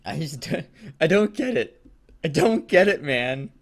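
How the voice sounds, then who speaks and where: Male co-host, lightly high pitch, highly expressive delivery, crude mocking banter over amateur fiction. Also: a little slow. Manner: crude, animated, giggly joking. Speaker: co-host of a fan fiction reading show. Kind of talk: casual banter and mocking read-aloud.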